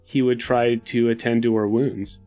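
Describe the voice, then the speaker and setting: A man of medium pitch plays a tabletop game. He describes his character's actions in a casual, improvised way over faint music. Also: level tone, moderate pace, warm tone.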